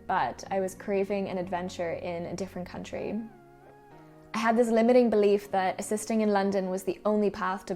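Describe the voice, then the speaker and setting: A woman's voice: mid-range voice, darkish tone. A woman of around thirty with an Irish accent, narrating a personal vlog about her own life and work. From Ireland. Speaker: freelance photographer presenting a vlog.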